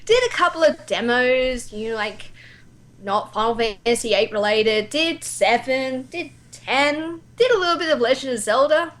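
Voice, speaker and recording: Young Australian female guest, slightly high voice, casual, theatrical storytelling. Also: animated, normal speed, fairly bright tone. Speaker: amateur voice actor, interview guest. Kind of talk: casual anecdotal chat.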